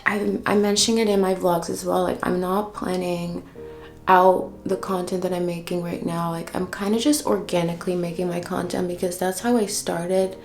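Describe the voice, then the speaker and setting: A woman's voice: fairly low pitch, level tone, moderate pace, vocal fry, darkish tone, faint music behind. A young Dutch-born woman in her twenties with an American-sounding accent, chatting casually while doing her makeup, using "like" often. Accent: American-sounding English. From Netherlands. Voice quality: slangy, says "like" often. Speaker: beauty vlogger. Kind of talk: casual get-ready-with-me chat.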